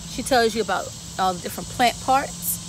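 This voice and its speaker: A woman in her thirties with a somewhat high voice reads a picture book to young children, then walks them through a vegetable garden. She keeps asking them questions in a friendly teaching way. She was recorded outdoors by a camera a few feet away, with a steady hum of insects behind her. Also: fairly quick. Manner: lively, talks straight to kids with questions. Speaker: garden educator reading to children. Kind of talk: children's story time read-aloud and garden tour. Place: outdoor garden, seated on a bench then walking among plants.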